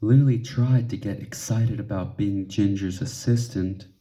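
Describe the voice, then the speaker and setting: Man, very soft dark tone, unhurried children's read-aloud, expressive, measured emphasis. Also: lowish voice. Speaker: storybook reader.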